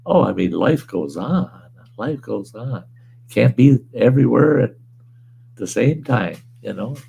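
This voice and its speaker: A man hosting a casual livestream from home, hoarse with a weakened voice, rambling in a laid-back way and chuckling often. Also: medium pitch, animated, unhurried, warm tone, a little hiss or hum. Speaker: livestream host. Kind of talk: casual livestream chat reading viewer comments.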